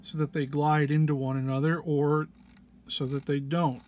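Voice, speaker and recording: A man who is under the weather gives an informal tutorial walk-through, explaining haltingly and hesitantly. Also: a little high, level tone, darkish tone, faint music behind. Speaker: presenter of a gear walk-through.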